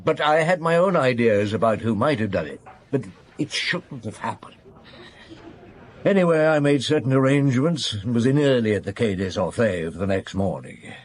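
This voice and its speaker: An actor playing a weary, probing middle-aged police chief inspector in a radio drama, deliberate and authoritative, with a husky voice. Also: male voice, mid-range voice, expressive, close to an everyday voice. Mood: rueful. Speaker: lead actor and narrator in a radio detective drama.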